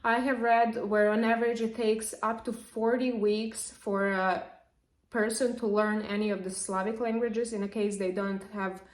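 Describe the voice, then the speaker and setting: Woman, Serbian native, young adult, vlogger, lively explainer, reverberant room. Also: mid-range voice, normal speed. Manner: lively, explanatory, with frequent fillers. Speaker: language vlogger. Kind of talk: casual talk to camera. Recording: echoey room.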